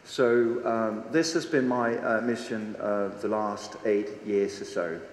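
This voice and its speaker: A man's voice: on the low side, steady tone, takes it slowly, a little hiss or hum. A Danish man in his forties with a Danish accent, giving a casual, blunt stage talk to a seated audience and quizzing them as he goes. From Denmark. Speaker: conference speaker. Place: large hall with a seated audience. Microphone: handheld microphone on stage. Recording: echoey hall with long reverb, faint ambience.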